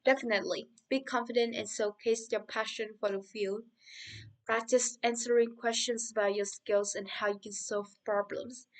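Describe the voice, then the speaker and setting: A woman's voice: on the high side, steady tone, moderate pace, recorded from a distance, lightly acted, rough recording. A woman playing a confident young tech worker. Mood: eager. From Mexico. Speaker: guest. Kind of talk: scripted interview for English learners.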